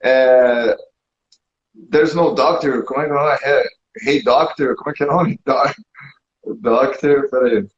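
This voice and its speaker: A Brazilian man hosting a casual live chat, rambling and self-mocking, laughing often, a little hoarse. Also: medium pitch, fairly even intonation, takes it slowly, long pauses. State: slightly hoarse. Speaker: podcast host. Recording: dull lo-fi sound.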